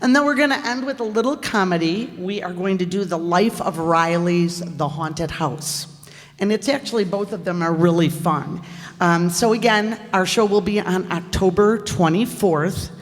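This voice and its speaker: A woman's voice: fairly low pitch, moderate pace. Chatty woman in an echoey hall. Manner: chatty, self-deprecating. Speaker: radio players troupe performer announcing upcoming shows. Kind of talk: informal audience announcement. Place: theater stage before an audience.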